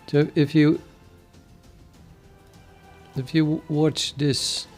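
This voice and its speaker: A man with a Dutch accent chatting casually on a live programming stream, thinking aloud and halting with many fillers. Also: a little high, flat delivery, a little mellow, faint music behind. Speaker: programming livestreamer.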